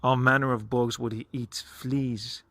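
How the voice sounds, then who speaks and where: Man in measured, reflective solo monologue, close and dry. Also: medium pitch, flat delivery, bright, forceful tone, no room sound. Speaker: podcast host. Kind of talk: reflective solo podcast monologue with poem reading.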